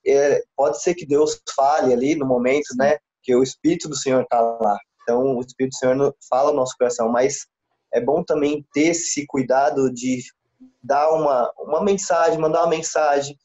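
A Brazilian man in his thirties, a missionary pastor, speaking calmly and haltingly in an interview over a video call from a small room. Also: on the high side. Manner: calm, halting, with many restarts. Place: small room indoors, sitting beside his wife. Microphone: laptop camera mic on a video call. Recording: dull lo-fi video call audio.

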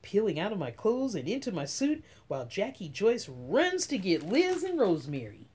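A middle-aged woman reading a children's picture book aloud as story time host, with dramatic, drawn-out emphasis. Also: medium pitch, very animated, darkish tone, husky. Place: small room at home.

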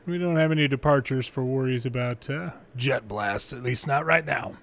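A man's voice: mid-range voice, moderate pace, fairly bright tone. A man hosting gameplay as an air traffic controller, mixing clipped procedural calls with casual asides. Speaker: gaming channel host playing an air traffic controller. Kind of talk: controller phraseology and gameplay commentary.

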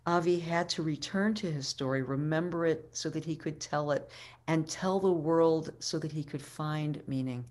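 A woman in her sixties giving a keynote talk as a storyteller, deliberate and dramatic, with pauses and repetition, speaking over a video call from home. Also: deep voice, darkish tone. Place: living room at home, indoors. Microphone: webcam video call microphone.

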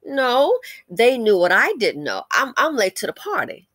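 A woman's voice: a little high, huge pitch swings, measured pace, fairly bright tone, no room sound, clean studio sound. An American woman giving blunt, scolding, opinionated commentary with emphatic conversational asides and rhetorical questions as she reads out comments. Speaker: commentary channel host.

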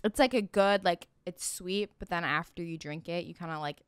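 A young woman from the Midwest, a podcast guest in casual chat, giggly and playful, on a boom-arm studio mic in a small room. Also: normal speed, clean tone. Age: young adult.

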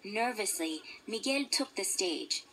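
A woman's voice: medium pitch, lively intonation, a little slow, sharp tone, a little hiss or hum, low-quality recording. A woman narrating a children's story from a script, as a read-along storybook narrator. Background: instrumental music.

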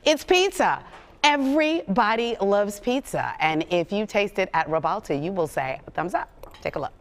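A woman's voice: mid-range voice, lively intonation, moderate pace. A middle-aged woman reporting a feature for morning television, chatty and upbeat. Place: TV studio and a restaurant.

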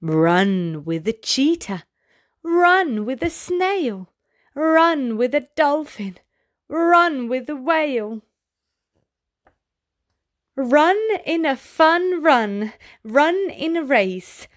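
A woman reading a picture book aloud to young children in an expressive, sing-song, exaggerated storyteller's delivery. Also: sits high, extremely slow, long pauses.

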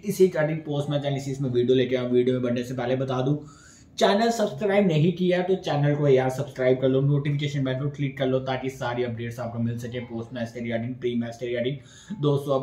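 A man's voice: medium pitch, darkish tone. A young man giving post-match tennis analysis to camera, repeating phrases for emphasis. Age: young adult. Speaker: sports YouTuber and host. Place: small room indoors. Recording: echoey bare room.

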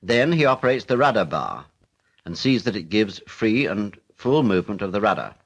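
A British man narrating a 1940s military instructional film in a formal, measured, matter-of-fact way. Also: lowish voice, animated, highs cut off.

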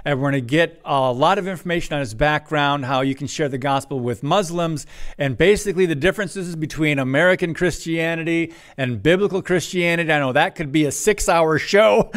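A man hosting a faith talk show, introducing a guest and questioning him in a friendly way with quick bursts of speech. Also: on the high side, fairly bright tone. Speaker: podcast host. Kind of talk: interview host chat.

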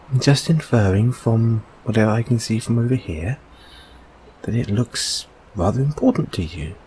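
A man playing a gentle, reassuring boyfriend in an intimate comfort audio roleplay, speaking softly and hesitantly. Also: mid-range voice, slight background noise. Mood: gentle, playful. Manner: soft, hesitant, soothing, unhurried.

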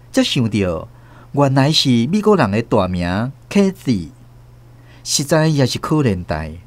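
An actor with a Taiwanese accent playing a wry first-person storyteller in a radio drama, narrating expressively. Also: male voice, fairly high pitch. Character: wry male storyteller observing a mother, returned from abroad.

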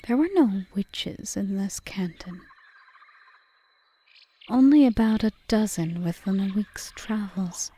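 A woman playing a calm, calculating forest witch in an audio roleplay, speaking in a measured, formal, often whispery way. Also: medium pitch, natural voice. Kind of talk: ASMR roleplay monologue.

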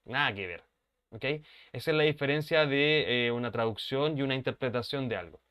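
Smooth-voiced teacher on a webcam. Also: male voice, medium pitch, brisk, fairly bright tone. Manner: explanatory, checks in with frequent "¿ok?" and "¿ya?". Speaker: English teacher. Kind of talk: online class explanation. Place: home room, webcam.

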